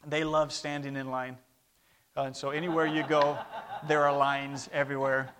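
A man's voice: mid-range voice, level tone, moderate pace, fairly bright tone, slight background noise. A man in his thirties who grew up in Brazil, speaking Portuguese first, giving a conversational missionary report to a church and calling for amens. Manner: conversational, with calls for amen.

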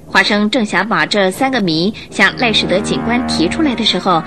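A woman narrator telling a radio drama story in an expressive, scripted and dramatic style, building suspense. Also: medium pitch, moderate pace, background noise. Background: music.

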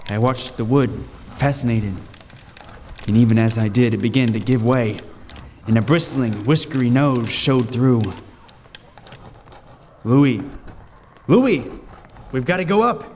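A man's voice: medium pitch, measured pace, pauses often, darkish tone, lightly acted. An actor playing a lighthouse keeper who tells his horror story with vivid, suspenseful intensity. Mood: urgent. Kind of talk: dramatic first-person narration in radio table read. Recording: roomy long reverb, faint noise.

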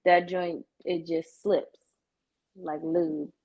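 A woman's voice: on the low side, little pitch movement, very slow, highs cut off. A woman guest chatting casually as a salon client, with a blunt, candid, slangy manner. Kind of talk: casual chat.

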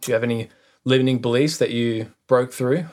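A man's voice: mid-range voice, fairly even intonation, a little fast, darkish tone. A man co-hosting a casual podcast, speaking earnestly about mindset and putting questions to his co-host. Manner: earnest, motivational, asks the other host questions.

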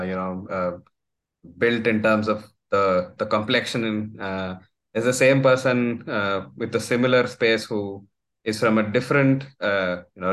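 Indian man, lowish voice, halting. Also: fairly bright tone. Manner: hesitant, many uh fillers. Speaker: podcast host. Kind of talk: casual conversation.

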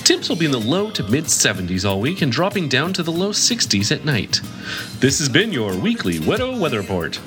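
A man's voice: mid-range voice, huge pitch swings, fairly quick, music in the background. A man playing a crude, cocky weatherman character in a mock-formal announcer delivery. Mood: deadpan. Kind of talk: comic weather report bit.

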